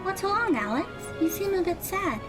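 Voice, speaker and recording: A woman's voice: very high voice, big pitch swings, moderate pace, music in the background. A woman playing a kind teenage schoolgirl in an audio drama, with a girlish voice and a polite, earnest manner. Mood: friendly, cheerful.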